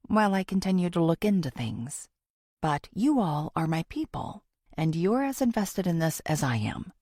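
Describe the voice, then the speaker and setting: A woman's voice: on the low side, creaky, a little mellow, no echo, clean studio sound, exaggerated voice. A woman voicing an earnest, theatrical female true-crime podcaster who confides in her listeners in an audiobook. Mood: confiding. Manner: confiding, theatrical, deliberate pauses.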